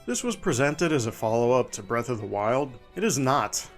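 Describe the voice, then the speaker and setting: Man doing a low, bitter, grumbling gamer. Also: sing-song, normal speed, faint music behind, natural voice. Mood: bitter, dismissive. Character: bitter, disgusted, low-voiced disappointed gamer. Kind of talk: dramatic reading of a written review.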